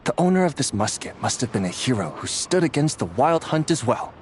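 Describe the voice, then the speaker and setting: Casting: sincere young soldier played by a man, mid-pitched, expressive, polite and curious. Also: a little fast, slight background noise, close to an everyday voice. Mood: earnest, curious. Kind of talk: anime-style game voice acting.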